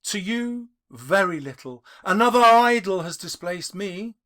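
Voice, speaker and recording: A man voicing a gentle, sorrowful but resolute young woman in an audiobook of a classic novel. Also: medium pitch, expressive, unhurried. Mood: sad reproach.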